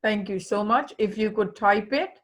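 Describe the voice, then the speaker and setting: A woman from India moderating a webinar, polite and quick to thank the speakers. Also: medium pitch.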